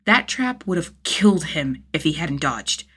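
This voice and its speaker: A woman's voice: sits low, big pitch swings, unhurried, fairly bright tone, slightly rough. A woman narrating fan fiction as a scripted audiobook-style reading, with a dramatic, emphatic delivery. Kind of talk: expressive scripted story narration.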